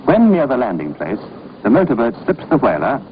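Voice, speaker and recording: A man's voice: medium pitch, huge pitch swings, brisk. A man reading scripted training-film narration in a formal, instructive, authoritative manner. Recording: muffled, noisy old film soundtrack.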